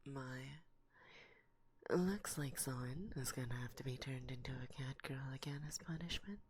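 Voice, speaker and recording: Breathy, low-voiced teasing witch mistress, acted by a woman. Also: a little mellow, exaggerated voice. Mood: mock-stern, teasing. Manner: teasing, condescending, slow and deliberate, often slipping into whispers. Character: teasing, domineering forest witch mistress, long-lived. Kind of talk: ASMR roleplay. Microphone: close binaural microphone.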